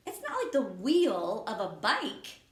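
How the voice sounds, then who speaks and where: Slow, patient woman teaching young children. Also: mid-range voice, wildly swooping pitch. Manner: patient, slow and encouraging, explaining step by step. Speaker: elementary school teacher. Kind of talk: remote classroom lesson for young children. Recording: echoey room.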